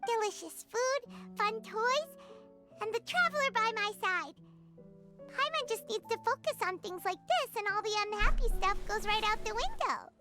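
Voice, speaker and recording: Super high squeaky little game fairy, chirpy and bouncy, music loud behind her. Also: lively intonation, normal speed. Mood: cheerful, consoling. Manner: refers to self in third person, chirpy. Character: tiny cheerful childlike fairy companion, loyal and excitable. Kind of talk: video game character dialogue.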